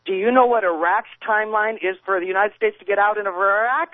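A man's voice: high voice, brisk, no room sound. A man calling in to a radio show, rambling and argumentative, stumbling over his words. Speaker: caller. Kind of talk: phone-in conversation. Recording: tinny phone line.

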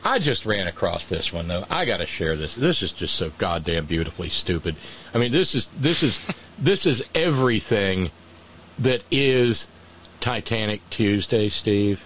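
A man's voice: lowish voice, very animated, moderate pace, fairly bright tone, a little hiss or hum, poor audio. A man hosting a casual political chat, sarcastic and mocking, often restarting his sentences. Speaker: podcast host.

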